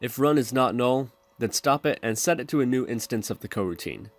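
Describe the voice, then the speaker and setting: A man's voice: mid-range voice, lively intonation, fairly quick, faint music behind. A man hosting a programming tutorial, narrating step-by-step instructions in a screencast style.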